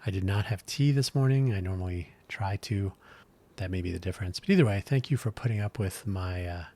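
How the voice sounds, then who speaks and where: Solo podcast host, man, middle-aged, deep and low-pitched, throat gone scratchy, rambling with fillers. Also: brisk, warm tone. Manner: rambling, thoughtful, frequent fillers and restarts.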